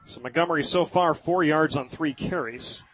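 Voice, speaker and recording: An American man doing live radio play-by-play of high school football in vivid, colloquial language. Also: normal speed, fairly bright tone, a little hiss or hum, low-quality recording.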